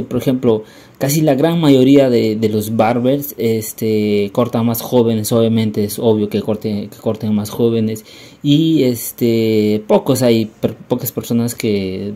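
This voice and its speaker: A man's voice: on the low side, warm tone. A young man narrating a casual travel vlog in a rambling, hesitant way, often repeating words. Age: young adult. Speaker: vlogger.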